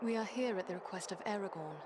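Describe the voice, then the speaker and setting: A woman's voice: mid-range voice, fast, background noise, lightly acted. A woman playing a composed, courteous and determined elf adventurer in a fantasy game, speaking formally. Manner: formal, poised. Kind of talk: fantasy game cutscene acting.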